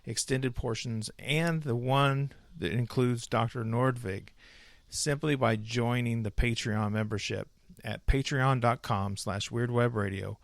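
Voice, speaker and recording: A man from the United States hosting a casual podcast interview, upbeat and chatty, quick to laugh. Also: mid-range voice, gravelly, no room sound. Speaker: podcast host.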